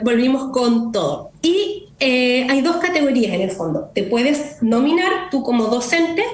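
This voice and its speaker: A woman with a Chilean Spanish accent, joining remotely as an interview guest and explaining in a steady way, often adding tag questions. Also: fairly high pitch. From southern Chile. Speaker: guest, executive director of a nonprofit. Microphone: remote connection. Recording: echoey room with faint noise.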